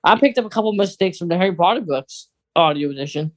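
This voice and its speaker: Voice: medium pitch, expressive, fast talker. An American podcast co-host chatting casually in an informal, rambling, conversational way.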